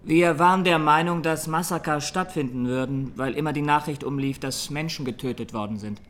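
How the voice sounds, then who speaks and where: An actor playing a haunted young Armenian defendant giving grave, deliberate testimony in a courtroom radio drama. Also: male voice, fairly high pitch, a bit bright, close to an everyday voice.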